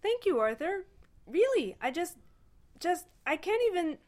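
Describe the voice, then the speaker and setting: A woman voicing an excitable, sentimental male alien in a story reading, gushing and halting with emotion. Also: high voice, voice pushed up. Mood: rapturous, tearful.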